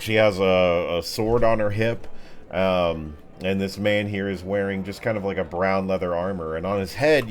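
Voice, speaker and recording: A man's voice: on the low side, a little hiss or hum. A man narrating an improvised tabletop game as game master in a casual, descriptive way. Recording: music behind the voice.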